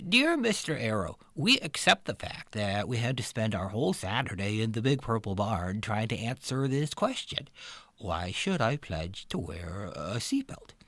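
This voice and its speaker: A man voices a nerdy, polite boy in a children's story. He speaks earnestly, with pitch that moves up and down in a lively way. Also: moderate pace, no echo, full character voice. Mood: proud. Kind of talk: scripted children's audio story.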